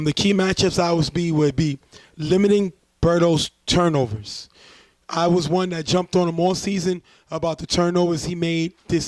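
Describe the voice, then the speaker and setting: Hoarse New man hosting sports talk. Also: a little high, dry recording. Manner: relaxed, chatty play-by-play breakdown. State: losing his voice. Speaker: show host, sports commentator. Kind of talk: casual sports talk show commentary.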